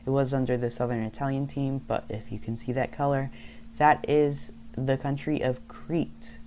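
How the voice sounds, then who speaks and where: A man's voice: medium pitch, little pitch movement, normal speed, a little mellow, a little hiss or hum. A young man, not a native English speaker, casually narrating a map video in a rambling way, often stopping to correct himself. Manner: rambling, self-correcting, loose storytelling.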